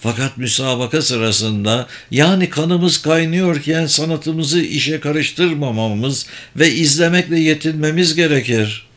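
A man's voice: on the high side, unhurried, hardly any pauses, a bit bright. A man voicing a superior, persuasive sorcerer in an audiobook, speaking formally and insistently. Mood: explaining, assured. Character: persuasive, superior sorcerer who runs secret political games.

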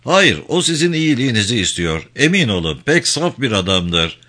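A man playing a courteous but stern gentleman prisoner in a novel, formal, measured and admonishing. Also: medium pitch, big pitch swings. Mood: dismissive.

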